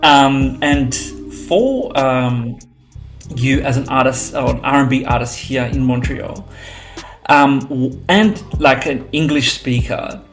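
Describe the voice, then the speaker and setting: A man's voice: medium pitch, slow pace, soft background music. A man interviewing a singer, asking long, rambling questions full of hesitations and fillers.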